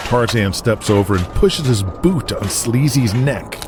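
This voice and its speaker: A man's voice: mid-range voice, animated, measured pace. A man narrating a scripted audio drama as its announcer, in a dramatic storytelling style. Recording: music bed behind voice. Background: guitar music.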